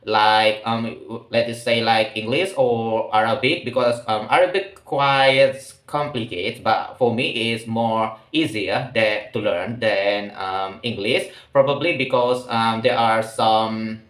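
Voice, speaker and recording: A man's voice: on the low side, fairly even intonation, fairly bright tone, roomy sound. Hesitant young man. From Indonesia. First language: Malay. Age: late 20s. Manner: hesitant, halting, pauses often to search for words. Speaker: vlogger. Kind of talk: casual personal monologue.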